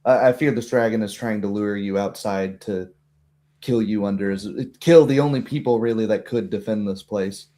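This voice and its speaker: Man playing an anxious, formal baroness, low-voiced. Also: mellow, close to an everyday voice. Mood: worried, pleading. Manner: halting, urgent, formal. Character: anxious, proper noblewoman baroness, worried for her town.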